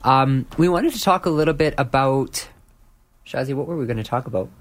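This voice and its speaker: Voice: medium pitch, expressive, a little fast. A campus radio host introducing guests in a loose, self-deprecating way with many hesitations.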